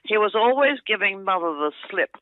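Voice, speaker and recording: An older Australian woman chatting and telling a rambling family story on the phone, laughing often and talking loudly. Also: mid-range voice, expressive, normal speed, dry recording. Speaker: aunt recalling family stories on a phone call. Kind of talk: casual phone chat, storytelling. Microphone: telephone. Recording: tinny phone line, dry.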